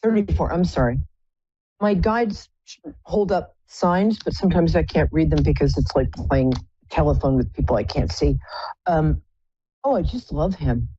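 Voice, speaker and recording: A woman with a low contralto voice hosting a live psychic call-in show, chatty and effusive, calling callers pet names. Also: moderate pace, warm tone, breathy edge, no room sound, lo-fi sound. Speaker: psychic radio host.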